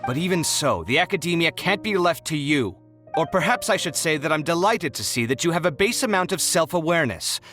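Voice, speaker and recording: A proud, easily offended young artist in a video game. The voice is fairly high and sharp, leaping around theatrically with haughty exasperation. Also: male voice, lively intonation, a little fast, soft background music. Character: proud, touchy, dramatic young male artist. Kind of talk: animated video game voice acting.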